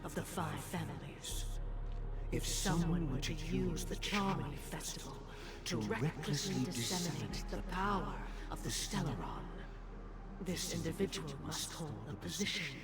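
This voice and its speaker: An actor playing a lofty, grave old patriarch in a video game, speaking formally and deliberately, with music behind him. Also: male voice, fairly high pitch, animated. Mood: grave surprise. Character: lofty old male dream master, grave, measured patriarch. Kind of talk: dramatic video game voice acting.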